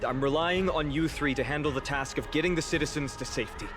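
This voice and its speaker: A man playing an earnest, responsible young hero in a dramatic video game, firm and measured. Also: on the high side, lightly acted. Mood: concerned, resolute. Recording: game music behind the voice.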